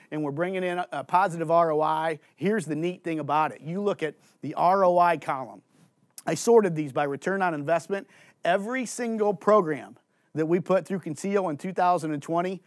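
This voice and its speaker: A man in his forties, a farm agronomist, giving an energetic conference presentation on a studio stage through a headset mic, asking and answering his own questions. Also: on the high side, dry recording. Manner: gestures and walks on stage while talking. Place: indoor studio stage with green curtains.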